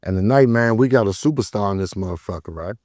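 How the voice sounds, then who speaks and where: Deep-voiced streetwise male podcast host. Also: quick pace, a little mellow, no room sound, clean studio sound. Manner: loose, streetwise, swearing freely. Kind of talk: casual interview chat.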